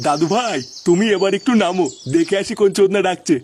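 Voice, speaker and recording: A man's voice: high voice, fast talker, darkish tone, slight background noise, lightly acted. A man voicing a crude-tongued, doting old grandfather in a comic cartoon dub. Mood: doting, weary. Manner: grumbling, crude.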